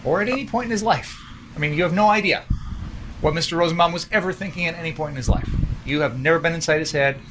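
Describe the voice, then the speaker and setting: A man's voice: fairly high pitch, fast. A man questioning a witness as a prosecutor, pointed and insistent, in courtroom cross-examination. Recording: noisy courtroom archive clip.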